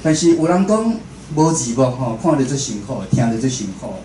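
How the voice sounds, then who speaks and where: A man's voice: medium pitch, lively intonation, brisk, warm tone. Taiwanese man lecturing in a roomy, echoey space. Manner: conversational, puts questions to the audience. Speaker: speaker, radio station director and show host. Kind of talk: informal public lecture. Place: indoor talk venue. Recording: roomy echo, faint background noise.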